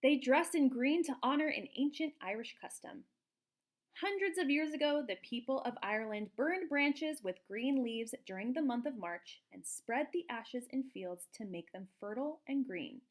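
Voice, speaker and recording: A woman reading a picture book aloud to young children in an expressive, explanatory way, like a teacher. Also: high-pitched, normal speed. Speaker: children's storybook reader, teacher.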